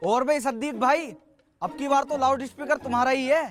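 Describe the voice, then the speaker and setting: A man playing a young villager delivering a scripted, confident advertising pitch inside a sketch. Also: fairly even intonation, fairly quick. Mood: confident, salesy. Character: smartly dressed young villager pitching easy money. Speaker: sponsor promoter. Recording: faint music behind the voice.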